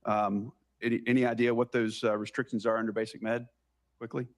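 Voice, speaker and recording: An American man questioning a witness as a senator at a formal hearing, brisk and pressing with halting pauses. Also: fairly low pitch, little pitch movement.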